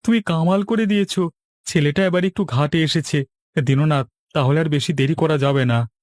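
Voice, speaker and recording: An excitable cartoon village father, played by a man, with a high voice caught in a dry recording. His delivery is exclamatory. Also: moderate pace, no room sound, close to an everyday voice. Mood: delighted. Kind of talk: animated cartoon dialogue.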